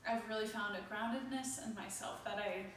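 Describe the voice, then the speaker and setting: A young woman of twenty-two speaks with warm, heartfelt sincerity. She is recorded from a distance in a room with strong echo. Faint ambient noise sits behind her. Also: mid-range voice, fairly quick. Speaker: theater student.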